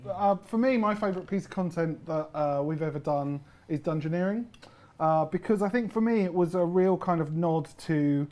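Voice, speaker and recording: A man's voice: fairly high pitch, normal speed, darkish tone. A man chatting casually as a game developer, explaining his thoughts on work. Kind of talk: casual chat, reflective explanation. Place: live stream room in an office.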